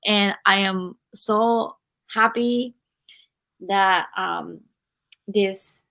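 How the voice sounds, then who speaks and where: A woman from Japan, a non-native English speaker, hosting a live podcast interview and encouraging her guest while pausing to search for words. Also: medium pitch, sharp tone. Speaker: podcast host.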